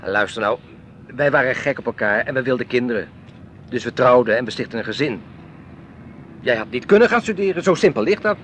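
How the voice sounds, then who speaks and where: An actor playing a grumbling, old-fashioned middle-aged husband in a radio drama, with a complaining, sardonic, dismissive manner. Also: male voice, mid-range voice, lively intonation, a little fast, long pauses, fairly bright tone, a little hiss or hum, close to an everyday voice. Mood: stubborn.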